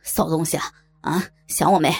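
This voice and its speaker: A coarse, pushy northeastern man of middle years, voiced by a woman narrator. His voice sits deep and husky, and he talks bluntly, bossing people around. It reads like a homespun audio story. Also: steady tone, moderate pace, dry recording, faint music behind. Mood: rough. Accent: Northeastern Chinese. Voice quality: gruff, low put-on voice. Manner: blunt, domineering.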